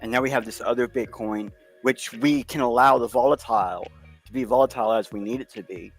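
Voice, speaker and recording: A man's voice: medium pitch, a little fast. A man with an ordinary mid-range voice lays out his argument at length in an earnest, conversational way. Faint music plays under the talk. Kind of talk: casual chat.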